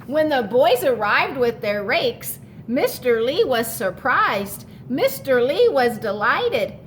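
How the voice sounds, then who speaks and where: A woman's voice: high-pitched, big pitch swings, slow, slight background noise. A woman reading a picture book aloud to children like a teacher, expressively stretching key words and adding explanatory asides for young listeners.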